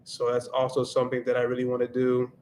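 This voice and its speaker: A man of middle pitch hosts a laid-back livestream, reflecting out loud as he goes. He slips into Spanish here and there, with a faint hum behind him. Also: completely flat delivery, fast. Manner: reflective, thinks aloud, switches into Spanish now and then. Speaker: livestream host and Spanish learner. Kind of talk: casual livestream chat.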